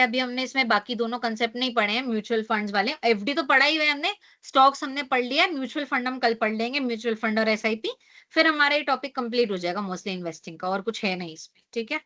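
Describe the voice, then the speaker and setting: Hoarse woman teaching an online class. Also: on the high side, bright, forceful tone. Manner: explains with rhetorical questions, frequent tag "है ना". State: hoarse, losing her voice.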